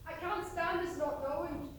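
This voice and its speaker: An echo-heavy, distant theatre recording of a woman playing a young Irish emigrant. The voice is very high, eager and dramatic, with faint noise around it. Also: fairly even intonation, moderate pace. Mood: anxious. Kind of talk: stage play acting. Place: theatre stage, picked up from afar. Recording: echoey hall, distant mic, faint noise.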